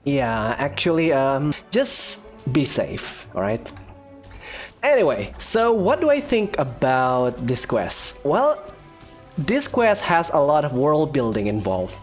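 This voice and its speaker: A male gamer gives casual commentary on his play in an expressive way, often saying things twice. His pitch moves around a lot, and faint music plays behind him. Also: takes it slowly. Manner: expressive, repeats phrases. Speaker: gaming video creator.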